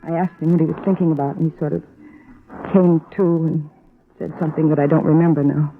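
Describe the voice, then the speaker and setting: Worried wife in muffled radio drama. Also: female voice, deep voice, fairly even intonation, a little hiss or hum. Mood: uneasy. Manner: hesitant, halting. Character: worried, embarrassed wife of a missing detective. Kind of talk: old-time radio drama acting. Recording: muffled old radio recording.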